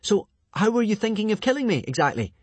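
A man voicing a plucky, quick-thinking young boy in a children's audiobook, anxious but bold, with urgent, emphatic delivery. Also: sits high, sing-song, no echo, pitched above the performer's own voice. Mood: strained bluffing.

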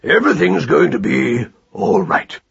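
A man's voice: fairly high pitch, sing-song, measured pace, vocal fry, a bit bright, breathy edge, highs cut off, exaggerated voice. A man voicing a kindly, sensible uncle rabbit who is plain-spoken and authoritative. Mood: firm, reassuring.

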